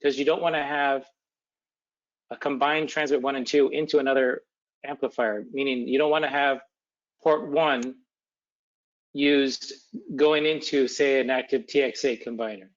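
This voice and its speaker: A man talks through technical details as a webinar presenter. He is halting, as if thinking aloud, with an unscripted delivery. The sound is dull and lo-fi, like an online call. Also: mid-range voice, steady tone. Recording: dull, lo-fi webcast audio.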